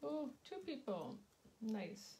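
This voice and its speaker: Slow, chatty woman with a sore throat. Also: on the high side, expressive, mellow, a little hiss or hum. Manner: slow, chatty, many ums, greets viewers by name. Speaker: craft demonstrator hosting a live stream. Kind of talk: casual live craft demo. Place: indoor craft table.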